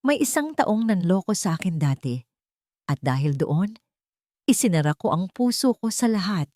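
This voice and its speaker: Romance audio story role: earnest rich suitor read by a woman, heartfelt, mellow, expressive pitch. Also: moderate pace, no room sound, clean studio sound, natural voice. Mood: regretful. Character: earnest, tender male billionaire confessing his love.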